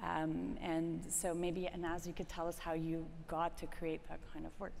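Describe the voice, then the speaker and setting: A middle-aged woman from the Soviet Union speaking on a conference stage, pausing often with ums and uhs as she introduces her co-speaker. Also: fairly low pitch, level tone, creaky, a little mellow. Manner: hesitant, fills with many ums and uhs. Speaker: strategy lead co-presenting. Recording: hall reverb, faint ambient noise.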